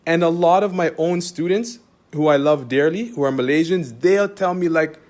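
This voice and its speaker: Canadian man, teacher type, emphatic monologue, keeps asking "you understand?", voice sits a bit high. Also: normal speed, darkish tone. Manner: emphatic, repetitive, rhetorical questions. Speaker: Islamic teacher. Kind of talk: direct-address monologue to followers.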